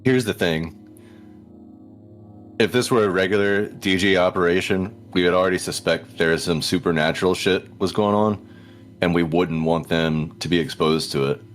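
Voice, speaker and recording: A man's voice: deep voice, normal speed, soft background music. A man talking out his reasoning as a player in a tabletop game. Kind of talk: table talk during a game.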